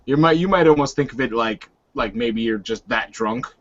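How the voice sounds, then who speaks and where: A man's voice: medium pitch, normal speed, a bit bright, dull audio. A man giving casual, improvised narration for a tabletop roleplaying game.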